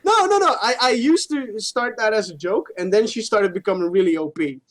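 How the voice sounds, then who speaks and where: A man co-hosting a gaming show, chatting casually in a halting way, repeating words and swearing freely. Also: high voice, lively intonation.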